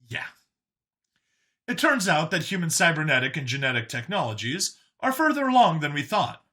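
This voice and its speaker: A man's voice: a little high, sing-song, moderate pace, bright, forceful tone. A man reading a scripted science fiction story as a dry, wry briefing, in an expository narrator's delivery. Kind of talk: scripted dramatic story narration as an alien official giving a briefing.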